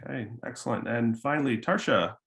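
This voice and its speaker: A man's voice: medium pitch, brisk, creaky, fairly bright tone. A man moderating an online student panel, speaking with frequent ums and hesitant restarts. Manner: hesitant, many fillers.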